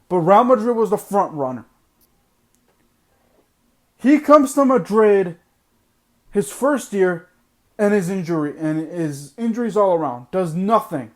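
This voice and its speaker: A man with a high voice hosts a sports podcast and rants bluntly, hammering his points home by repeating them. His voice is going hoarse because he is losing it. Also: sing-song, a little slow, pauses often. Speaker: podcast host. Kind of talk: opinionated sports podcast monologue.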